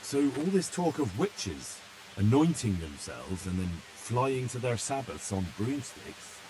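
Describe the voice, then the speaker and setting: An actor playing a skeptical, wry young medieval hero in a video game, with a dry, questioning manner. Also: male voice, medium pitch, big pitch swings, unhurried, background noise. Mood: skeptical, probing. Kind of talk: dramatic video game acting.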